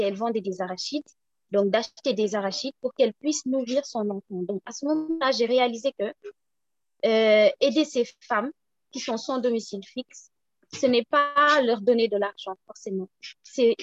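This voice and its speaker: A woman from Senegal with a Senegalese French accent, speaking as a guest and making an earnest, measured appeal for support. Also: a little high, no echo, muffled recording. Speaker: guest, project founder.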